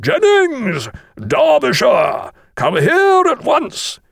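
Man acting stern, short-tempered schoolmaster, forceful. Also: medium pitch, big pitch swings, a little fast, dry recording, clean studio sound, natural voice. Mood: bellowing. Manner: gruff, emphatic, brusque.

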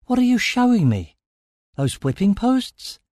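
A man's voice: extremely high pitch, sing-song, takes it slowly, darkish tone, dry recording, studio quality, voice pushed up, heavily acted. A man voicing the impatient, bewildered hero of a story, firing off blunt, urgent questions. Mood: puzzled.

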